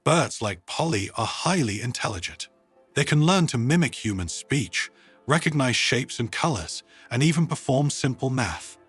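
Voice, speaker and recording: A man voices an earnest female presenter in a narrated story. The delivery is formal and explanatory, with animated pitch, a slightly husky tone and soft music beneath. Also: normal speed. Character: earnest woman presenting her pet to an audience.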